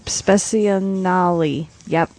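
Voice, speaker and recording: A woman's voice: fairly low pitch, steady tone, extremely slow, darkish tone. A woman co-hosting a podcast, chatting casually in a playful, teasing way and giggling often. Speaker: podcast co-host. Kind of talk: casual chat.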